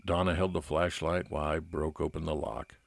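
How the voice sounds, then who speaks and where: Casting: man as gumshoe narrator, deep, plain-spoken and matter-of-fact, dry studio sound, radio detective story. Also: little pitch movement, brisk, gravelly, no room sound. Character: private detective narrating his own case. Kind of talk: scripted first-person narration, old-time radio detective drama.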